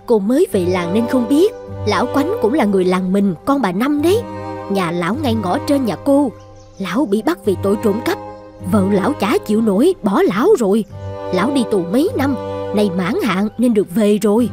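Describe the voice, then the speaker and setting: A woman's voice: medium pitch, expressive, moderate pace, over music, natural voice. A woman voicing a wary, chatty village gossip in a cartoon folk tale. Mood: gossipy, disapproving. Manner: gossipy, conversational. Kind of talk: animated folk tale dialogue.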